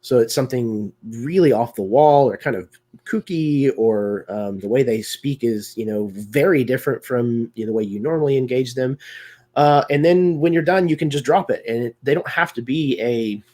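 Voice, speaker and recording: A man guests on a roleplaying-game discussion over a video call. His voice is husky and a little raspy, and he talks things through thoughtfully with frequent pauses and fillers. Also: medium pitch, dark tone. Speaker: guest performer. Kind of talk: casual discussion of roleplaying games.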